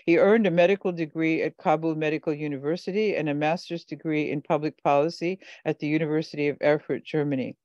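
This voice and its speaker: A woman's voice: sits low, a little mellow, no room sound. Dry, lo-fi woman host on a video call. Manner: hesitant, many fillers and restarts. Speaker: workshop host. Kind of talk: moderating an online workshop.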